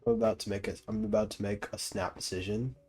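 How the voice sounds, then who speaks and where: A man's voice: lowish voice, fairly even intonation, fast talker, warm tone, faint music behind. A young man giving casual, slangy and joking commentary as he streams a game. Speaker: gaming streamer.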